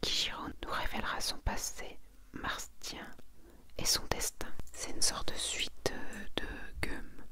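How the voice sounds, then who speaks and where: A woman whispering in an ASMR style, breathy and almost entirely unvoiced, reading and chatting in a gentle, confiding way. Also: slow. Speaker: ASMR content creator. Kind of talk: soft whispered ASMR, presenting and reading manga summaries.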